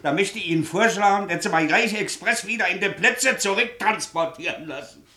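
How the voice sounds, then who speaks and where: An actor in a 1950s German radio play playing a stubborn, desperate, jobless ex-convict shoemaker, plaintive and colloquial. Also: male voice, high-pitched, a little fast, background noise, natural voice. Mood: agitated, stubborn. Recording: roomy early 1950s radio studio.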